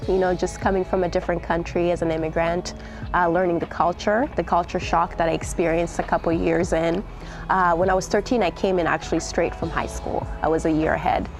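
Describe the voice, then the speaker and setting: A woman in her twenties from Tanzania, telling her story in a documentary interview, earnest and pausing with "uh" and "you know". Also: on the low side, a little mellow. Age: 20s. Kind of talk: personal storytelling.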